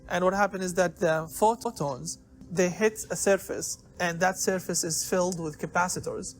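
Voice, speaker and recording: A man's voice: high-pitched, a little hiss or hum. A man giving an informal explanatory lecture in a didactic way, posing questions and answering them himself. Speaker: lecturer in biomedical engineering.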